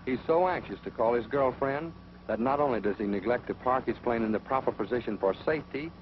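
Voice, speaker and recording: An American man narrating a 1940s instructional film in a measured, authoritative, dryly sardonic manner. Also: mid-range voice, a little hiss or hum. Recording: dull old film soundtrack, music behind.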